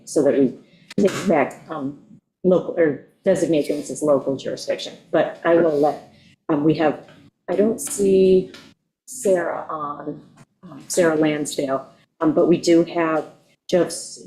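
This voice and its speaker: A woman with a sore throat, losing her voice, chairing a town board work session with halting, hesitant speech. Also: low voice, dark tone, slight background noise, poor audio. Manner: halting, many ums. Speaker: town supervisor chairing a meeting. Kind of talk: chairing a public meeting.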